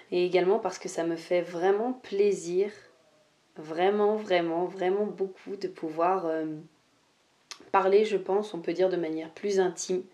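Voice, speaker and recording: A young woman hosting a solo podcast, talking without notes and often pausing with fillers and restarts. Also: on the low side, mellow. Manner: hesitant, many "euh" fillers and restarts. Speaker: podcast host, life mentor. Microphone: podcast microphone.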